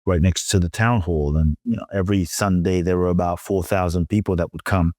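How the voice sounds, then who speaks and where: A middle-aged man from London, a spiritual teacher, telling his story reflectively as an interview guest in measured, emphatic short phrases with some repetition. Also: sits low, fairly even intonation, darkish tone, no echo, studio quality. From London, England.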